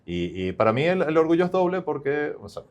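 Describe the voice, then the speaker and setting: A man's voice: on the high side, big pitch swings, fairly quick, dull audio. A male startup founder, not from Chile, explaining his company at length in an upbeat podcast interview with many fillers.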